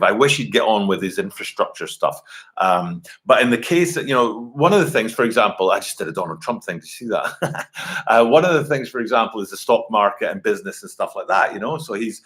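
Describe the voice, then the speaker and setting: A man's voice: fairly low pitch, fairly bright tone. A man, chatting casually on a live stream from his home computer, rambling with lots of fillers and restarts. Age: 40s. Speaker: livestream host. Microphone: computer webcam mic.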